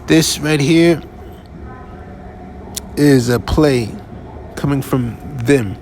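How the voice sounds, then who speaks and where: A man hosting a podcast, giving casual commentary in a conversational, halting way with restarts and asides. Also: medium pitch, darkish tone, slight background noise. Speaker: podcast host.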